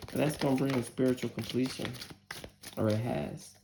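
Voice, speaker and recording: A woman in her forties with a deep voice giving a casual tarot reading and prayer, chatty and candid, cursing freely. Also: steady tone, normal speed, noisy background. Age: 40s. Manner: chatty, candid, curses freely and laughs often. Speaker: tarot reader. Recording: roomy echo.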